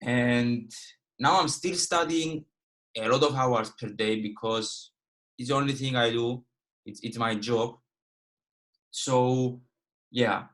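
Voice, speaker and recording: A young man from Cyprus speaks English with a Greek Cypriot accent, his first language being Greek. He runs a live online lesson in a casual, straightforward manner, often stopping to check in with his listeners. His pitch sits in an ordinary middle range for a man. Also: slow, pauses often, a bit bright. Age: 20s. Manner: casual and direct, peppers speech with "okay" and "all right". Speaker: guest trading educator.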